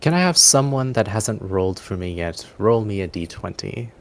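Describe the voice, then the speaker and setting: A man narrating a tabletop roleplaying game as game master, in a halting, pausing way. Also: on the low side, expressive, slight background noise. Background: soft background music.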